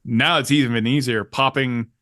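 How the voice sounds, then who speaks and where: A middle-aged man with a deep voice he habitually lifts to a middle pitch talks in a loose, rambling stream, often cutting himself off. The sound is dry and close. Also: normal speed, dry recording. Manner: rambling, animated, self-interrupting. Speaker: channel host and former game company staffer. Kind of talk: casual interview chat.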